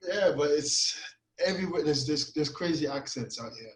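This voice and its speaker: A man from Canada with a Caribbean-tinged Toronto accent, a podcast guest telling animated stories in casual chat over a video call. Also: on the high side, normal speed, reverberant, crowd noise, rough recording. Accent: Toronto, Caribbean-influenced. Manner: animated storytelling, lots of "you know what". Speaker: podcast guest, grime artist.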